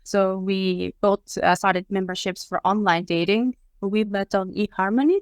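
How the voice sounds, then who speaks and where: Bulgarian-accented woman, smooth clear voice. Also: medium pitch, steady tone, no room sound, clean studio sound. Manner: measured, with frequent ums and uhs. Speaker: interview guest. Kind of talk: casual conversation.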